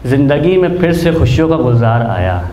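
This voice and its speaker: A man's voice: medium pitch, big pitch swings, brisk, dark tone. A man in his twenties reciting spoken-word poetry in a declamatory way, repeating lines for emphasis, into a stand mic at an open mic. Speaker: amateur poet, open mic performer. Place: small indoor open mic venue. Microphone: handheld-type mic on a stand, close. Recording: echoey room with background noise.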